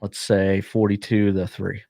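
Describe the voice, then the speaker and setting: A low-voiced man chatting through his football predictions on a podcast, voice worn and starting to give out. The sound is dry and close, with no room around it, and he delivers his picks with a joking, opinionated edge. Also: completely flat delivery, measured pace, vocal fry, dark tone, no echo. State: losing his voice. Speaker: podcast host. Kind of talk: casual sports podcast chat.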